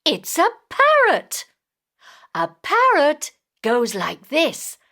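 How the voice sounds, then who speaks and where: A woman storyteller with a high, bright voice reads for children. Her delivery is extremely animated and very slow, exaggerating each simple phrase. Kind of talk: read-aloud storybook narration.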